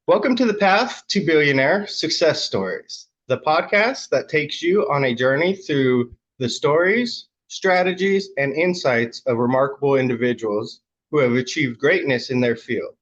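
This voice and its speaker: A man's voice: on the high side, normal speed, fairly bright tone. Upbeat male podcast host, slightly dull audio. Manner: upbeat promotional read, then brief affirmations. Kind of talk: scripted intro then casual interviewing.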